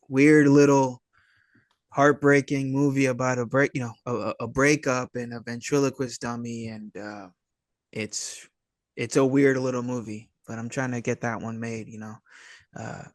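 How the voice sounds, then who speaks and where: Laid-back-talking man, halting and slangy, close dry sound. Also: mid-range voice, flat delivery, no room sound. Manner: slangy, hesitant, halting delivery peppered with dude and man. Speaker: filmmaker and actor, interview guest. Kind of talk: casual chat.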